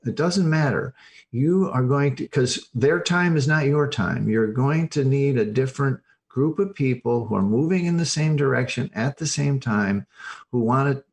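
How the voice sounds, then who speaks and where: An older man giving explanatory interview answers as a recovery coach, emphatic and plain-spoken, stressing his points in short bursts. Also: medium pitch.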